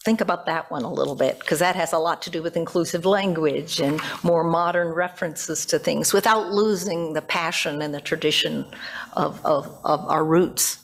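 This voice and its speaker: A woman's voice: low-pitched, moderate pace, creaky. Older woman pastor giving a sermon. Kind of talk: sermon read from notes with informal asides. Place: church sanctuary at a pulpit. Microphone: gooseneck pulpit microphone.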